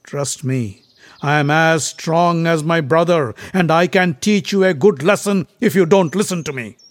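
A man voicing a mighty, hot-tempered warrior prince in a storybook myth, declaiming loudly and indignantly. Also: mid-range voice, fairly even intonation, normal speed, dry recording, close to an everyday voice. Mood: indignant, boastful.

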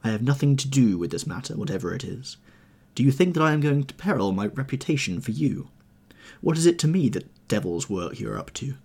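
A man voicing a hard, cold gentleman scientist who answers with contempt, in an audiobook reading. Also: mid-range voice, big pitch swings, brisk, slightly rough, voice pushed up. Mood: indignant.

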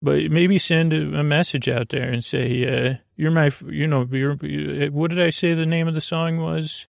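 Male bedtime-podcast host: creaky fry, dry sound, low-key meandering delivery with unfinished thoughts. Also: steady tone, no room sound. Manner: meandering, halting, trailing off mid-thought. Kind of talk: rambling, casual bedtime monologue.

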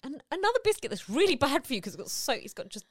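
A woman's voice: sits high, fast talker, dry recording, studio quality. A woman co-hosting a casual podcast, talking with enthusiasm and plenty of fillers. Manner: animated, enthusiastic, lots of likes and laughs. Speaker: podcast co-host. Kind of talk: casual chat.

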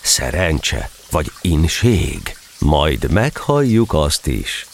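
Deep voiced guy telling a verse story, very theatrical and lively, faint noise behind. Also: creaky. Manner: theatrical, expressive. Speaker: narrator and actor.

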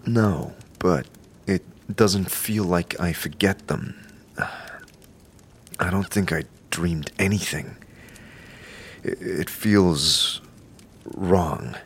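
A scarred man with no memory, as a man puts him on. He stammers and hesitates, low and deep. The voice sounds like an everyday speaking voice. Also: slightly rough, full character voice. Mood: uneasy. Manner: halting, stammering.

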